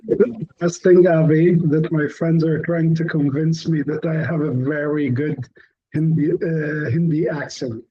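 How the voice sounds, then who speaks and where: A man with a husky, somewhat high voice speaks slowly and haltingly. He laughs between his words. His voice comes through dull, lo-fi call audio. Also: fairly even intonation. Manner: laughing, hesitant, drawn-out speech. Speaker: participant in a live session.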